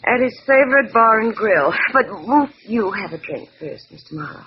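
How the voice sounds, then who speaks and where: An actress playing a coolly flirtatious, glamorous widow in a 1940s radio noir drama, coy, teasing and smoothly evasive. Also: female voice, a little high, lively intonation, normal speed, close to an everyday voice. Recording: noisy, muffled vintage radio recording.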